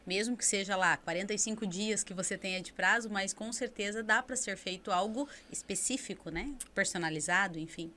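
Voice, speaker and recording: A woman's voice: mid-range voice, fairly quick, few pauses, pushed and bright, a little hiss or hum. A Brazilian woman hosting a podcast, chatty and steering the conversation toward her guests. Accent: Brazilian Portuguese. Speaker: podcast host. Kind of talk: casual podcast interview.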